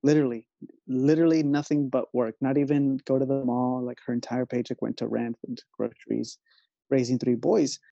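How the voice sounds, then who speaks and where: Gravelly man, dark dry lo-fi sound. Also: mid-range voice, flat delivery, fairly quick, no echo. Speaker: author. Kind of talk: conversational talk.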